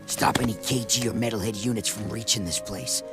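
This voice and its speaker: A man's voice: on the low side, a little fast, creaky, over music. A man voicing a serious resistance commander in a video game, earnest and urgent as he briefs. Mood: grave, urgent. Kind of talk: video game cutscene acting.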